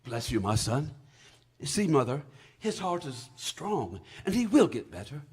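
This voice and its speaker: A husky-voiced, affectionate father of a poor family, played by a male actor. His delivery swings widely up and down, humble and kindly, in the theatrical manner of a radio play. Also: fairly high pitch, sing-song, unhurried, heavily acted. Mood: tender. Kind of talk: stage radio drama acting.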